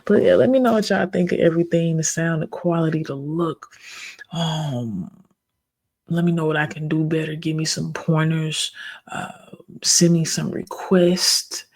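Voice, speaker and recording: A soft-spoken reaction video host talking casually and directly to viewers. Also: medium pitch, steady tone, a little slow. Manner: soft-spoken, calls it an ASMR voice; chatty, speaks directly to viewers.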